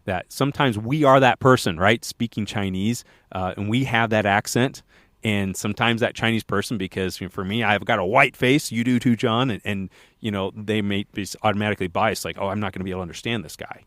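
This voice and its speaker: A man's voice: on the low side, fairly quick, fairly bright tone, no room sound, studio quality. A man co-hosting a podcast, chatting casually and rambling with many fillers and restarts. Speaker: podcast co-host.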